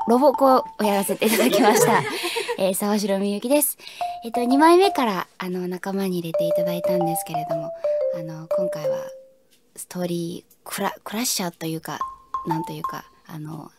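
A voice actress giving a wry, soft-spoken cast comment in a recording studio. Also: female voice, medium pitch, a little fast, loud music behind the voice. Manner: soft-spoken, drops to a near whisper, wry.

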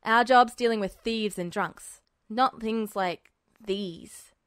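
A woman's voice: medium pitch, takes it slowly, clean tone, dry recording, clean studio sound, close to an everyday voice. A woman voicing a matter-of-fact male town police officer with plain, clipped speech, in a story reading.

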